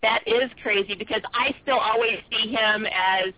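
A woman in her fifties interviewing on the radio, chatty and quick to laugh. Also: fairly high pitch. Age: 50s. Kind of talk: casual interview chat. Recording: dry tinny phone-line sound.

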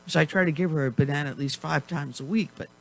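A woman's voice: low-pitched, fast talker, gravelly, poor audio. Chatty woman host losing her voice. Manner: chatty, rambling, laughs often. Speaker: radio talk show host. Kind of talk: casual talk radio chat.

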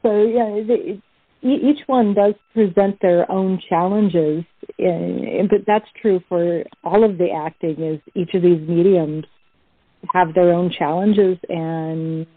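Woman, husky, lowish pitch, earnest explainer, casual panel talk, thin call audio. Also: steady tone, takes it slowly, no echo, narrow, tinny audio. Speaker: voice actor and cast member.

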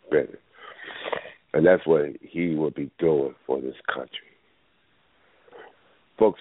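Deep-voiced male talk radio host, loose and rambling, a bit under the weather, heard over a phone line. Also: dry recording. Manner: rambling, thinks aloud with many fillers. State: battling a cold. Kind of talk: casual call-in radio talk. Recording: tinny phone line, dry.

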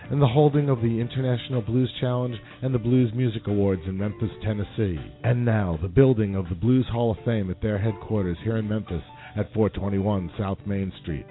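Male announcer, earnest promo read, lowish, music bed. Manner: earnest pitch. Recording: tinny lo-fi sound with music.

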